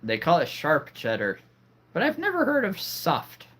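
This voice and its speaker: A man's voice: a little high, wildly swooping pitch, a little slow, a bit bright, slightly rough. A man hosting a live stream, rambling casually and making cheesy jokes to his viewers. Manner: rambling, jokey, punning.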